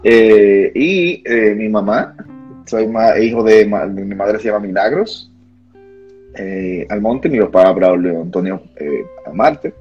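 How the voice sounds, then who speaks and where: Dominican man with a low voice, hesitant guest on a dull lo-fi stream. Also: slow pace, darkish tone, faint music behind. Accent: Dominican, from Santiago. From Dominican Republic. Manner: hesitant, many fillers and restarts. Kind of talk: casual livestream chat.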